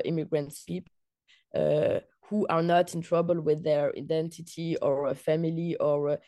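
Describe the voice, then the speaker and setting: Belgian woman filmmaker, low mellow voice, halting with fillers, dry sound; interview guest. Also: no room sound. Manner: halting, many fillers and restarts. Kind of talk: interview answers.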